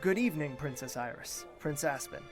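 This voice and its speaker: A man acting a formal, reserved royal host in an audio drama, speaking in a measured way. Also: a little high, animated, natural voice. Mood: courteous, guarded. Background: music.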